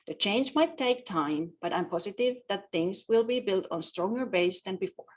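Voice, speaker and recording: Woman giving a halting prepared presentation. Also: mid-range voice, expressive. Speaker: conference presenter, media executive.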